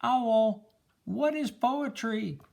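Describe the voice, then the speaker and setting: A man's voice: extremely high pitch, drawn-out words, creaky, darkish tone, a little hiss or hum, pitched above the performer's own voice, heavily acted. Older man as a curious, polite storybook boy. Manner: questioning, calling out politely.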